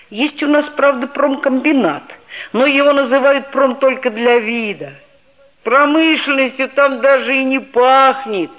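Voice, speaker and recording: A woman's voice: high voice, phone-quality sound. An actress playing an earnest, proud seventh-grade schoolboy who narrates his own story in a 1960s radio play. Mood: earnest, musing. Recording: thin band-limited old radio recording, music behind.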